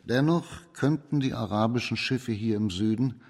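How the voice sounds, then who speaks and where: A man playing an authoritative, shrewd judge in a radio crime drama, speaking formal in a measured, commanding way. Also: mid-range voice, a little mellow, lightly acted. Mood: lofty, commanding. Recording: reverberant hall.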